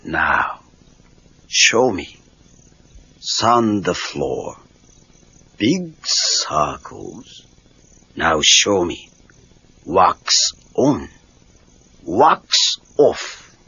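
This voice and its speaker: Slow, terse old Japanese karate master. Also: male voice, low-pitched, big pitch swings, pushed and bright, breathy edge, no room sound, full character voice. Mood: commanding. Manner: clipped broken English, terse commands. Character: elderly Japanese karate master, terse, stern but wry. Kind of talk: children's read-along audio drama acting. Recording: dull lo-fi cassette tape.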